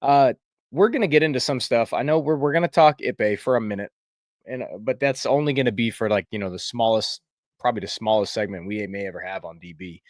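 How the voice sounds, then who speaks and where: A man's voice: mid-range voice, brisk, a little mellow, dry recording, studio quality. A man with a stuffy, congested voice hosting a casual live sports chat in a rambling, filler-heavy way. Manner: rambling, chatty, many fillers. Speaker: podcast host.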